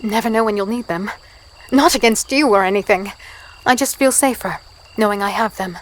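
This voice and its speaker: A woman playing a guarded, curious young human captive in a dark fantasy audio drama, hesitant, polite and introspective. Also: medium pitch, moderate pace, slight background noise, close to an everyday voice, poor audio. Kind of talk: first-person audio drama narration and dialogue.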